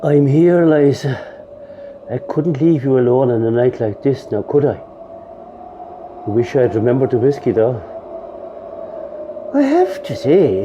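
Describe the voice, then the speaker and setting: A man's voice: mid-range voice, dark tone, highs cut off, a little hiss or hum. A man playing a grieving older Irish widower in a radio play, wry and rambling, with an Irish accent. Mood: tender, mournful. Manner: rambling, conversational, emotive.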